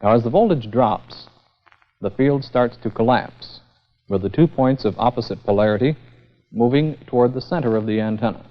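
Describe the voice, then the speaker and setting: A man's voice: mid-range voice, moderate pace. A man giving a formal, methodical scripted technical lecture as the instructor in a vintage educational film. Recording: dull, band-limited vintage 16mm film sound.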